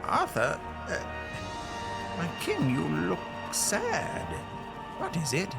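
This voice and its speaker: A man voicing an excitable older knight in an audio drama, talking in bursts of exclamations. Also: fairly high pitch, slow, gravelly, over loud music, full character voice. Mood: concerned. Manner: animated, exclamatory.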